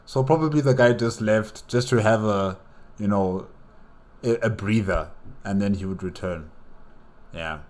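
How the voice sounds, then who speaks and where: A man's voice: on the low side, unhurried, long pauses, a little mellow. A man giving casual reaction commentary, thinking aloud with many questions and repeated words. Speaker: reaction video host.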